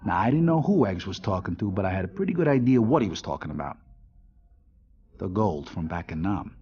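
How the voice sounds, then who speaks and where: A man's voice: deep voice, big pitch swings, brisk, lo-fi sound. An actor playing a world-weary, wisecracking American mob enforcer, telling his story in a casual, tough, profane hardboiled narration. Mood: wry, matter-of-fact.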